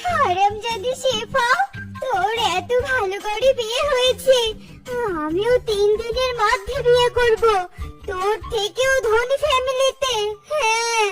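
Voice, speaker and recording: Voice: extremely high pitch, measured pace. A performer voicing a haughty, melodramatic young female animal in a Bengali cartoon, whiny and demanding. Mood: jealous, furious. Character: haughty, jealous young female animal wanting a rich husband. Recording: loud music under the voice.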